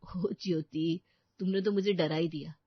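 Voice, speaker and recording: Woman doing soft-tempered lovestruck young guy, lowish voice, easygoing, dry slightly muffled story audio. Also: steady tone, normal speed, no echo. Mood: mild, accommodating. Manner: accommodating, mild. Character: Vicky, indulgent lovestruck young man. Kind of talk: Hindi audio story acting. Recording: slightly dull, dry studio recording.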